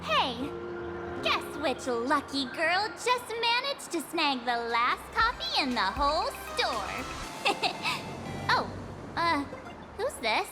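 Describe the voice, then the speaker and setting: An actress playing a perky, cheeky young girl with a soft heart in an anime-style video game. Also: sits high, takes it slowly, exaggerated voice. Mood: bubbly, curious. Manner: playful, sassy, expressive. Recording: loud background music under dialogue.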